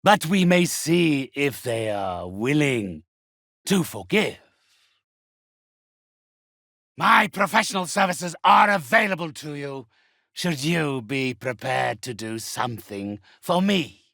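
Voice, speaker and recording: Undead necromancer game villain, gravelly rasp, haughty, formal, menacingly polite, slow, very dry close sound. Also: male voice, on the high side, animated, pushed and bright, dry recording, exaggerated voice. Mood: aloof, courteous. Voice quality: raspy. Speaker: spirit medium. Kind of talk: theatrical video game villain acting.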